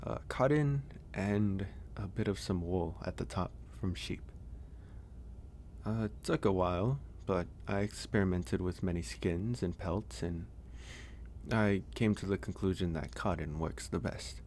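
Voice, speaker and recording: A man playing a gentle, caring werewolf in a soft, intimate ASMR audio roleplay, speaking hesitantly and reassuringly with frequent pauses. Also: low voice, a little hiss or hum. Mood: hesitant, explanatory. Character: gentle male werewolf, caring stranger nursing a guest.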